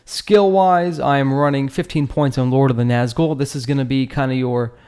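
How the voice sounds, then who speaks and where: A man's voice: mid-range voice, steady tone, moderate pace, darkish tone, clear, resonant tone. A man hosting a gaming video, talking through a build in an informal, slangy way with joking asides and frequent check-in questions. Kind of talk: casual gameplay build breakdown commentary.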